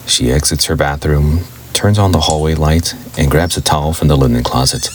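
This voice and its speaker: A man narrating a horror radio play in a measured, scene-setting storyteller's manner. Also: extremely low pitch, a little hiss or hum. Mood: ominous, measured. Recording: loud music bed with rain. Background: loud music, rain sounds.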